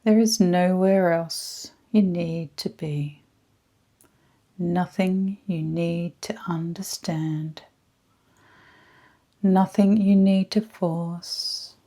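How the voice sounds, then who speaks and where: A woman guides a meditation in a very unhurried, measured voice. Her tone is mellow and hushed, staying quite even in pitch. She pauses often between short, soothing phrases, with some breathiness. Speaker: guided meditation leader, energy healer. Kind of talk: slow scripted guided meditation.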